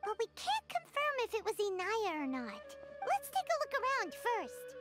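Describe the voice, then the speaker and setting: A woman voicing a tiny, eager, childlike fairy companion in a video game, with a squeaky voice. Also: huge pitch swings, normal speed, full character voice. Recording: game music loud behind. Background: tinkly game music.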